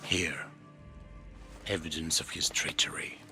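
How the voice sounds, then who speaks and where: A man's voice: very deep voice, steady tone, measured pace, over music. A man playing a weary, grave older Greek ruler in dramatic video game dialogue. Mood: grave, grateful.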